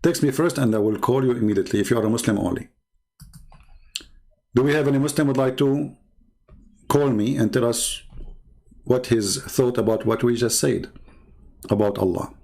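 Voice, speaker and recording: Gravelly Arabic-accented man challenging callers. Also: mid-range voice, normal speed, pauses often, mellow. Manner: challenging, taunting, inviting callers. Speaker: online debate host. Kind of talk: live-streamed religious debate talk.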